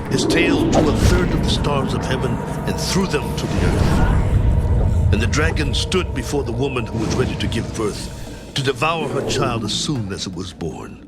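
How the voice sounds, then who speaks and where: A man narrating scripture as a drama, solemnly and with deliberate phrasing, over loud music. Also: mid-range voice.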